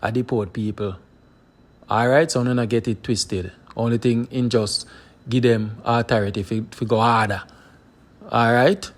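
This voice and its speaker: A man's voice: medium pitch, fairly even intonation, moderate pace. A man hosting a live call-in stream, talking casually and chatting with callers. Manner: casual, chatty, lots of short backchannels. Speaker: host.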